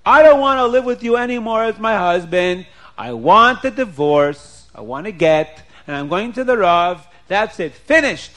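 A man's voice: fairly high pitch, sing-song, fast, few pauses. A man voicing an outraged wealthy wife who scolds her husband in indignant rhetorical questions, in an oral story.

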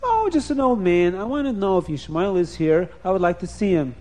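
A man doing a gentle old patriarch. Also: sits high, lively intonation, brisk, pitched above the performer's own voice.

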